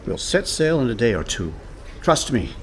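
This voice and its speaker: Male actor as cheerful scheming con man, persuasive, very lively intonation, comic radio play, faint background noise. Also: medium pitch, normal speed, lightly acted. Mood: confident. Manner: breezy, persuasive.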